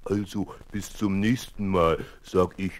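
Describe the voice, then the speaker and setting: A man's voice: low-pitched, fairly even intonation, a little slow. A man voicing a friendly talking dog, chummy as he presents a children's audio play. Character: friendly talking dog bidding young listeners goodbye.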